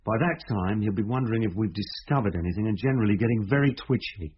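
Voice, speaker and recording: An actor playing a brisk, sceptical police inspector in a radio drama, direct and authoritative in manner. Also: male voice, on the low side, husky. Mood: reasoning, confident. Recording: dull, faintly noisy radio drama recording.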